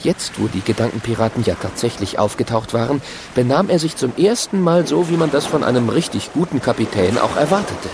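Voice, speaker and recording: A man's voice: medium pitch, animated, a little fast, vocal fry, background noise. A man narrating a children's radio play in a vivid storytelling manner. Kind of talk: scripted audio drama narration.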